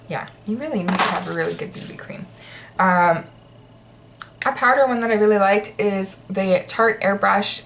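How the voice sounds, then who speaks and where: A woman's voice: mid-range voice, a little hiss or hum. Chatty woman, a bit stuffy and nasal. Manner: chatty, many ums and likes. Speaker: beauty vlogger. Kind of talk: casual product review.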